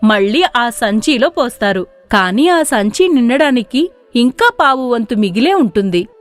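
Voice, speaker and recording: A woman's voice: on the high side, big pitch swings, measured pace, soft background music. A woman narrating a scripted moral tale in storybook style.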